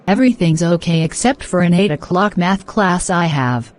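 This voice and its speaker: A woman playing a polite, eager young woman in a scripted cartoon dialogue for English learners, asking and answering in a deliberate way. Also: lowish voice, animated, lightly acted. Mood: friendly, casual.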